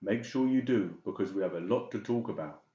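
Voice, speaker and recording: Guy doing a stern boss doctor in an audiobook, low voice, in charge, echoey room. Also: fairly quick, mellow, muffled recording, lightly acted. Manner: stern, authoritative. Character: stern, commanding senior male doctor in charge.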